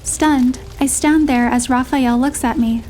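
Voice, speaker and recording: A woman narrating a romantic first-person story in a measured, expressive storytelling manner. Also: high-pitched, soft background music. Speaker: narrator and heroine voice.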